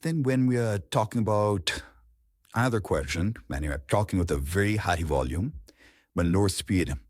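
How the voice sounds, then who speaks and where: A man's voice: deep voice, expressive, normal speed, no echo, clean studio sound. A man speaking as a coach, giving an informal, explanatory lesson on public speaking.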